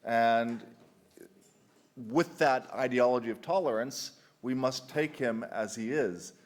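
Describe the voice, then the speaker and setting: A man's voice: mid-range voice, slow pace. Man giving a conference talk. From United Kingdom. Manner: conversational, frequent restarts and ums, dry humor. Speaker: conference speaker. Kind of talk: conference presentation. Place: conference hall.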